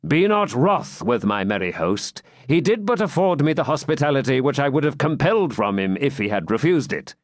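A man voicing a dignified, probing knight in an audiobook, with formal, measured old-fashioned speech. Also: mid-range voice, very animated, no echo, clean studio sound, pitched above the performer's own voice, close to an everyday voice. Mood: courteous. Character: dignified, courteous, probing male champion knight. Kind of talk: audiobook character voice.

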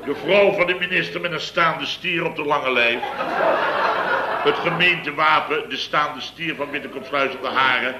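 An actor playing a blustering middle-aged contractor boss who rambles through an exasperated tale, in 1960s radio comedy acting. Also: male voice, fairly high pitch, moderate pace, reverberant, heavy background noise, close to an everyday voice. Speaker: construction firm boss.

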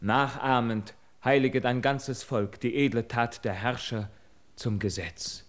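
An actor playing a bold, proud young prince, declaiming heroic verse earnestly in a classical radio drama. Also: male voice, mid-range voice, steady tone, a little slow, lightly acted. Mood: proud, resolute.

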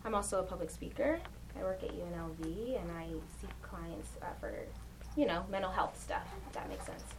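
A woman's voice: lowish voice, a little fast, far from the mic. A young woman from Uganda working as a counselor, giving an encouraging informal talk to a class of young people and often checking in with "right?". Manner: encouraging, asks the group questions, frequent "right?". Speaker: counselor and guest speaker. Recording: noisy room recording.

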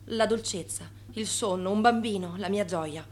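An actress playing a swindler's proud, wistful wife in a radio drama, unimpressed by money. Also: female voice, mid-range voice, moderate pace, lightly acted.

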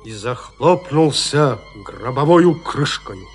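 Guy reading old spooky lit, slow and theatrical, swoops around a lot, music underneath. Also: medium pitch. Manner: theatrical, expressive storytelling. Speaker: narrator. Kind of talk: dramatic literary narration of a classic horror tale in an audio play.

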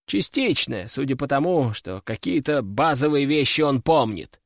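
A man's voice: medium pitch, lively intonation, normal speed, creaky, dry recording, clean studio sound. A man reading the part of a businesslike healer who speaks in a matter-of-fact, clinical way. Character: brisk, businesslike male healer.

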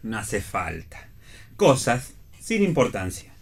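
A man's voice: on the low side, wildly swooping pitch, takes it slowly, vocal fry, slight background noise, full character voice. An actor playing a sardonic, free-spirited man who teases with dry quips in a read radio play. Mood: wry, guarded. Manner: wry, teasing, dry quips. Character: Miguel, sardonic free-spirited man back after long absence. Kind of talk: staged reading of a radio play.

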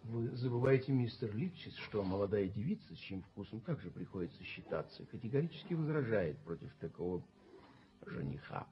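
Pompous, swooping, prim gentleman. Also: on the low side, lively intonation, fairly quick, a little hiss or hum. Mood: huffy. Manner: pompous, moralizing, affected. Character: pompous, prim gentleman, easily offended snob. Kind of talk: Soviet radio play acting. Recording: muffled 1970s radio drama recording.

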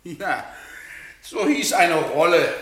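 An actor in a German radio play as a domineering, mocking husband who talks with theatrical condescension. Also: male voice, a little high, animated, slow, a bit bright, a little hiss or hum. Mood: gloating, mocking. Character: sneering, domineering husband who enjoys power and taunting.

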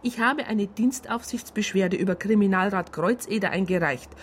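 A woman's voice: mid-range voice, moderate pace, lightly acted. Actress as an insistent female police psychologist, noisy background. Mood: defensive, indignant. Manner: precise, formal, insistent. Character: indignant female police psychologist lodging a formal complaint. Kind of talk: radio drama narration and dialogue.

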